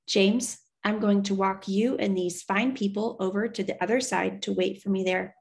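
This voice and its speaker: A woman's voice: medium pitch, fairly even intonation, normal speed, vocal fry, darkish tone, lightly acted. A woman voicing a tough, plainspoken woman stagecoach driver with a firm, folksy way of talking in a storybook. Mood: matter-of-fact. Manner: commanding, folksy frontier talk.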